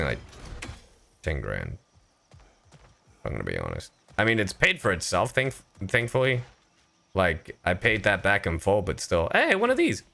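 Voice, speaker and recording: Animated, gravelly man livestreaming a game. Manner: animated, profane, swings between loud outbursts and low asides. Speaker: streamer and voice actor. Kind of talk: casual gaming livestream chat. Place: indoor livestream setup.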